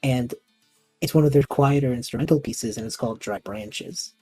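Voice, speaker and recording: A man's voice: medium pitch, moderate pace, mellow, breathy edge, dry recording, soft background music. A man hosting a casual interview, talking in an enthusiastic and thoughtful manner. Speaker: host and audio fiction creator.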